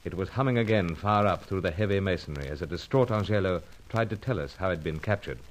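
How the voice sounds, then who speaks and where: An actor playing an unflappable British secret agent in a radio adventure serial, with clipped, breezy phrasing and a British accent. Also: male voice, low voice, quick pace, natural voice. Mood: grave narration. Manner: clipped, breezy stiff-upper-lip phrasing. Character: dashing, unflappable British secret agent hero, pipe collector. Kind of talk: old-time radio adventure serial acting, first-person narration and dialogue. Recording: noisy old radio serial recording.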